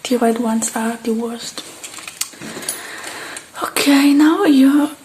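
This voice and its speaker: A woman doing an ASMR repair roleplay, speaking in soft, breathy whispers and hushed, halting murmurs close to the mic, addressing the listener. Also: high-pitched, a little hiss or hum. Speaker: ASMR artist.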